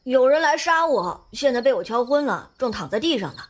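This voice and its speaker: A woman's voice: high voice, animated, brisk, a little hiss or hum. A woman voicing a clever, precocious four-year-old boy in an audio drama, with a wheedling, childish whine. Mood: urgent.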